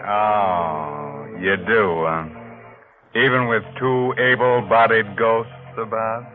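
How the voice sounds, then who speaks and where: Casting: male radio horror host, lowish sardonic ghoulish voice, tinny vintage sound with faint music. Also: drawn-out words. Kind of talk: old-time radio horror hosting.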